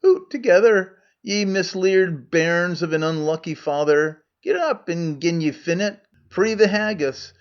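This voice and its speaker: A man's voice: high voice, measured pace, hardly any pauses, voice pushed up. A man putting on a wheedling Scottish trader. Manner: broad dialect, coaxing and blustering. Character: crafty poor male trader, older, wheedling. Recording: muffled lo-fi recording.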